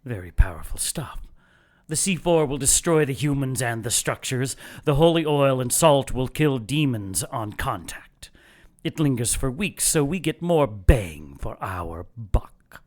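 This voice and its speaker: An actor playing a fanatical cult leader in an audio drama, lecturing in a measured, contemptuous and gloating way. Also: male voice, mid-range voice, sing-song, a little mellow. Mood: smug contempt. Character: fanatical cult leader brother plotting apocalypse, calm and contemptuous. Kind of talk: audio drama villain monologue.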